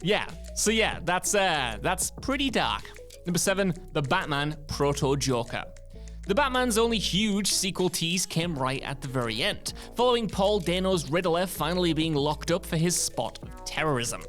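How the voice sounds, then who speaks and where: A male video presenter with a high-set voice reads a scripted countdown. His manner is conversational and lightly wry. Soft music plays behind him. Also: very animated, moderate pace. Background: background music.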